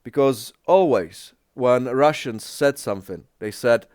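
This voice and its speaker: A man who is not a native English speaker, giving casual reaction commentary in a halting way, often searching for words and restarting. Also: mid-range voice, a little mellow, dry recording, studio quality. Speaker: reaction video creator.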